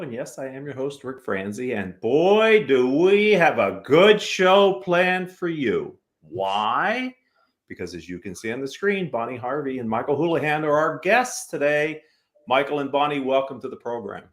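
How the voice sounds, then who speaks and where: Animated American man hosting a business show. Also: fairly high pitch, moderate pace. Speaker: business talk show host. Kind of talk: upbeat interview show presenting.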